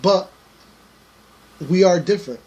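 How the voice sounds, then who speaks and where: A man's voice: high-pitched, unhurried, mellow, highs cut off. A young man co-hosting a podcast, chatting casually with many fillers and treading carefully as he reacts to a video. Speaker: podcast co-host.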